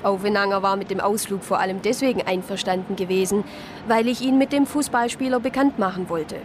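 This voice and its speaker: An actress playing a reflective Protestant sister who narrates a crime story in a radio drama. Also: female voice, medium pitch, steady tone, fairly quick, a little hiss or hum, close to an everyday voice. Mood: composed narrating. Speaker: narrator and lead character.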